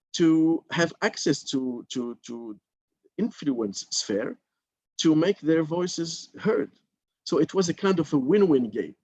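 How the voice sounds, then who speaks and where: A man's voice: medium pitch, a little mellow, slightly rough. A man from Morocco who served as a member of parliament, giving a thoughtful talk at a mentorship forum, stopping often on fillers. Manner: thoughtful, hesitant with many fillers. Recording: slightly dull lo-fi audio.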